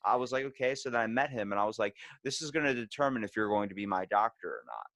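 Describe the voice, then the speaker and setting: A man's voice: lowish voice, lively intonation, quick pace, a bit bright, no echo. A man hosting a casual interview podcast, telling rambling, crudely joking anecdotes. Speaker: podcast host.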